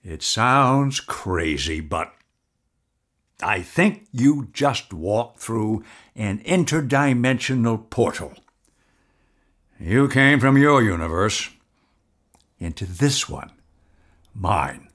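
An actor playing an evasive, placating man with a secret in an audio drama, speaking hesitantly and deliberately. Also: on the low side, expressive, fairly bright tone, full character voice. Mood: grave, hesitant. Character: evasive, soothing husband hiding an uncanny secret.

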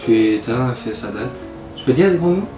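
A man's voice: mid-range voice, lively intonation, moderate pace, mellow. Cheeky young man, echoey and distant. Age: early twenties. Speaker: guest friend in a prank video. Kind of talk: casual banter. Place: small bedroom, indoors. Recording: roomy, distant, music behind.

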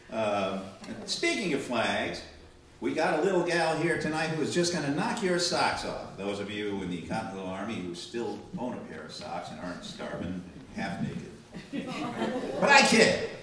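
An older man playing a wisecracking, joking master of ceremonies in an old-time radio variety comedy show. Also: mid-range voice. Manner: hammy, joking, punchline delivery. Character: wisecracking male Bob Hope style show emcee. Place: small library room, live performance. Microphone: far camera. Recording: distant, very echoey room recording.

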